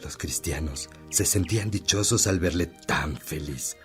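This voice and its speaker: Man acting a deep-voiced, wise old priest. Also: soft background music. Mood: earnest storytelling. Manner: measured, earnest storytelling. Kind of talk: Spanish-language radio drama acting.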